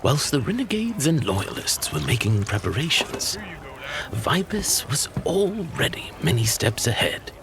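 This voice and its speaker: A man's voice: mid-range voice, sing-song, measured pace. A man playing a grave storyteller in a fantasy audio drama, narrating legend in a formal, portentous tone. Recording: noisy atmospheric background bed.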